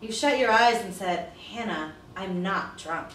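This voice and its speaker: A woman's voice: mid-range voice, animated, unhurried, a bit bright. A woman performing a reproachful female narrator in a dramatic audiobook reading. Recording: distant, echoey, noisy playback in classroom.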